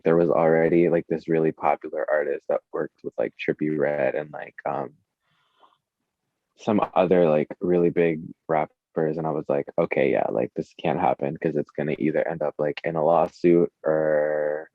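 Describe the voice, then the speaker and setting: A man speaking as a music artist guest in a casual interview, informal and hesitant, leaning on filler words. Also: rumbling low. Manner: hesitant, filler-heavy, informal speech with frequent "like". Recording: dull, echoey lo-fi room sound.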